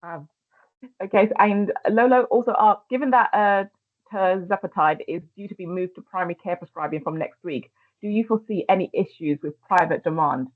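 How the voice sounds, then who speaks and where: A woman hosts a webinar and reads out audience questions in a brisk, upbeat way. Her voice comes through thin, phone-like video-call audio. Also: medium pitch. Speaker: webinar host.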